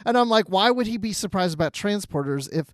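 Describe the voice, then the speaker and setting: A male podcast co-host with a fairly high voice for a man, chatting casually. He wonders aloud and acts out his own thoughts as he goes. The sound is very dry, without room echo. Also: expressive, fast talker. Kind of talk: casual discussion of a TV show.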